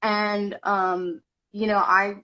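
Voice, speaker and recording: A woman's voice: medium pitch, level tone, very slow, pushed and bright, muffled recording. A woman from Zimbabwe, a guest actor and director, telling her story in a podcast interview with frequent restarts.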